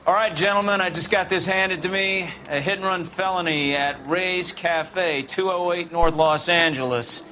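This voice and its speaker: A man's voice: high-pitched, fairly even intonation, normal speed, hardly any pauses, pushed and bright, a little hiss or hum. An actor playing a commanding police boss in a video game, briefing his men and giving orders.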